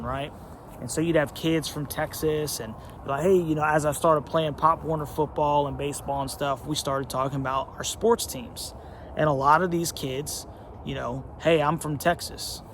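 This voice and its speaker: A man's voice: a little high, a little hiss or hum. A man from North Carolina hosting a casual sports talk monologue, conversational and emphatic, with many fillers. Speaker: sports channel host.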